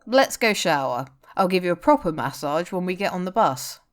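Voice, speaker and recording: A blunt rock singer who teases and looks after his bandmate , played by a woman reading fiction aloud. The character dialogue is pitched somewhat above plain narration. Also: very animated, moderate pace. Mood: bossy, caring. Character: adult male rock singer, blunt, teasing, caring bandmate. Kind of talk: audiobook-style character dialogue.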